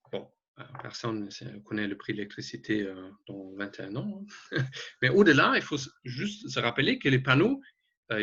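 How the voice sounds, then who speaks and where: A man with a German accent, a native German speaker, explaining slides haltingly as an advisor in an online webinar, often correcting himself. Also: medium pitch, fairly bright tone, husky. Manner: halting, many hesitations and self-corrections. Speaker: energy advisor presenting a webinar. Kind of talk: explanatory slide presentation, semi-spontaneous. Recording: dull, lo-fi webinar audio.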